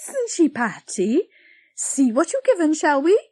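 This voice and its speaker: Loud, high busybody aunt type, lively swoops; woman reader plays her. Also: unhurried, dry recording. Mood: gushing. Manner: loud, gushing, exclaiming. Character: nosy, greedy middle-aged village busybody woman.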